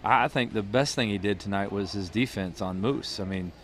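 A man's voice: lowish voice, a little fast, a bit bright, a little hiss or hum. A middle-aged man, a head basketball coach, giving a postgame interview in a thoughtful, rambling way full of restarts and fillers, on a headset mic. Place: small studio booth with a logo backdrop.

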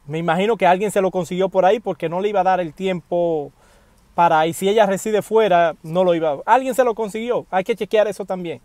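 A man's voice: sits high, brisk, no room sound. A man, giving casual news commentary to camera from inside a parked car, speaking animatedly and appealing directly to his viewers. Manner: animated, addresses viewers directly, emphatic pleas. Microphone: wired earphone mic.

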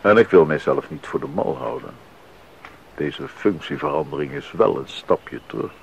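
A man acting a sober, devout composer and husband in a Dutch radio drama, speaking in a measured, reflective way. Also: rumbling low, vocal fry. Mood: sober, resigned. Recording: dull, noisy 1980s radio recording.

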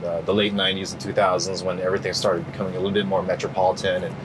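A middle-aged man answering interview questions in a relaxed, rambling way, full of fillers and restarts. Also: low-pitched, racing, background noise. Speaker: interview guest. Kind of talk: casual interview.

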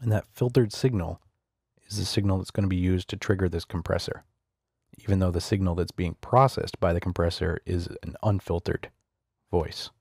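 Male screencast tutor, casual and explanatory, low-voiced with vocal fry. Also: normal speed, darkish tone, dry recording, studio quality. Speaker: software tutorial instructor. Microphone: close studio voice-over microphone.

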